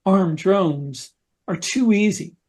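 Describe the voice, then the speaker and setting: A man with a noticeably high-pitched voice speaks as a podcast co-host. He explains and recounts things conversationally, like someone telling a story to friends in a casual panel discussion. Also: slow pace, a little mellow.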